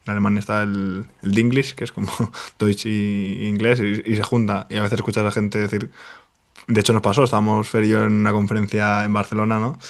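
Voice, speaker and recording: A man's voice: lowish voice, level tone, fairly quick, creaky. A man on a casual podcast panel, explaining a point with examples.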